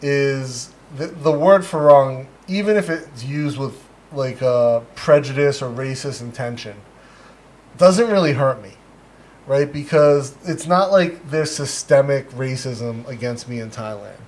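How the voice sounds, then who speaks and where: Casting: American male livestream host, casual and conversational, reflective and measured when explaining. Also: medium pitch. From United States.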